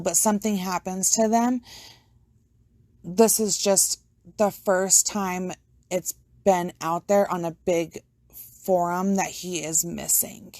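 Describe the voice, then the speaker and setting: A young adult woman with a fairly low voice talks in a loose, earnest, rambling way, now and then swearing casually. The sound is dry and close, with no room in it. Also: darkish tone, no room sound. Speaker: podcast host. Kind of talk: casual personal talk to camera.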